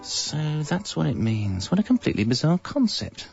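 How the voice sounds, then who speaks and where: A man's voice: fairly high pitch, sing-song, normal speed, vocal fry, dull audio, music in the background. A male actor with an English accent playing a discontented, evasive young father, narrating a scripted radio comedy in a wry, confessional way. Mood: flustered, exasperated. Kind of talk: scripted first-person comic narration.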